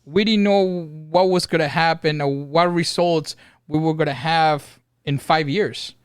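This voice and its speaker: A man's voice: a little high, fairly even intonation, clean tone, no echo. A middle-aged father co-hosting a family podcast at home, speaking earnestly and thoughtfully into a close studio mic. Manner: earnest, thoughtful, halting with fillers. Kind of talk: casual family podcast talk. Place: home room. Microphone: studio mic on boom, headset.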